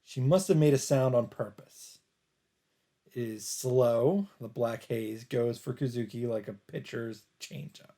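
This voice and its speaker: A man narrating a visual novel aloud as a let's player, in a measured, casual read-aloud delivery. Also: medium pitch, warm tone.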